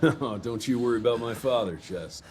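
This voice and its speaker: An actor playing a cool, younger frontier vampire hunter in a video game, laconic and sardonic with an American drawl. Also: male voice, medium pitch, moderate pace, noisy background, natural voice. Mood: challenging. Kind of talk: video game cutscene acting.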